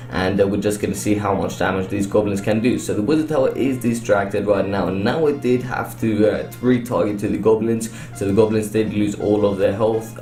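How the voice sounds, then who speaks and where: A man's voice: fairly low pitch, dark tone. Chatty young man narrating gameplay, roomy echo. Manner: chatty, upbeat, many fillers. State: slightly hoarse. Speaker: gaming YouTuber. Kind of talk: casual gameplay commentary. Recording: echoey room, distant mic, faint music. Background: faint background music.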